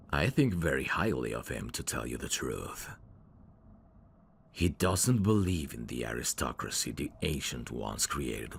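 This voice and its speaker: A man voicing a sly demon servant in an ASMR audio drama, courtly and theatrical with irreverent, sardonic asides and a very deep, gravelly voice. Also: slight background noise, full character voice.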